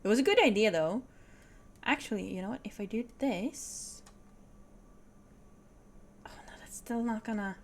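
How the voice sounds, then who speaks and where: A woman's voice: medium pitch, normal speed, long pauses, a little hiss or hum. A woman with a Swedish accent, Swedish being her first language, streaming a puzzle game and thinking aloud, often dropping to a whisper. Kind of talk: casual puzzle game livestream.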